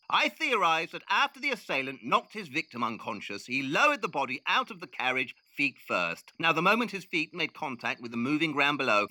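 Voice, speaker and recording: A man's voice: on the high side, bright, forceful tone, no echo, full character voice. A man acting as an arrogant, impatient Victorian detective in an audio drama, brusque and condescending.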